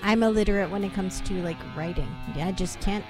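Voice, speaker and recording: A woman's voice: medium pitch, quick pace, music in the background. A woman with a Dutch accent co-hosting a radio show, bantering playfully and teasing her co-host.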